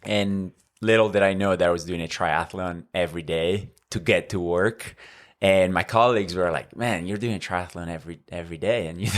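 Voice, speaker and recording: A man in his thirties from Northern Italy, speaking English with an Italian accent as a podcast guest, measured and full of fillers. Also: low voice. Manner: measured, with frequent fillers and restarts. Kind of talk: conversational interview.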